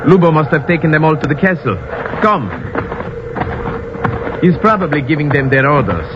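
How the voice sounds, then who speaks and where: A grave, loyal lieutenant acted by a man from a vintage radio adventure. His voice sits a little high, and his lines are formal and earnest. The old recording is dull and clearly noisy. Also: expressive, normal speed, close to an everyday voice. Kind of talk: old-time radio drama acting.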